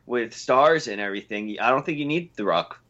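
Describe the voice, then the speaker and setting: A man's voice: mid-range voice, lively intonation, a little fast, fairly bright tone. A man co-hosting a casual wrestling podcast, laid-back and dry, asking the others questions. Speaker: podcast co-host. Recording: dull lo-fi podcast audio.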